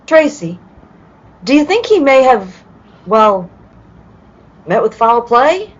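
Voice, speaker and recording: A woman's voice: fairly high pitch, very animated, slow, exaggerated voice. An actress playing an earnest, helpful young woman assisting a detective in an old-time radio play. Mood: concerned. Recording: dull, lo-fi call audio.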